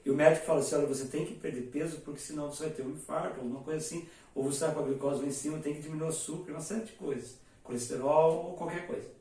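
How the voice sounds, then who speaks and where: A man's voice: a little high, steady tone, very fast, few pauses, warm tone, far from the mic. Man teaching in a very echoey room. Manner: explains step by step, writing on a board as he talks. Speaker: English teacher. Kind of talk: whiteboard lesson to camera.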